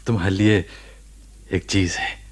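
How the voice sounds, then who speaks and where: An actor playing a gentle ailing husband in a Hindi radio drama, speaking earnestly with pauses between phrases. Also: male voice, sits low, husky, exaggerated voice.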